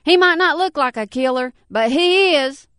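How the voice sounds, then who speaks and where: A woman's voice: high-pitched, animated, normal speed, fairly bright tone, no echo, clean studio sound, pitched above the performer's own voice. A woman voicing a large, self-righteous man who snarls and insists on his point. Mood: snarling. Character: big spitting male cyclist, bullying, self-righteous.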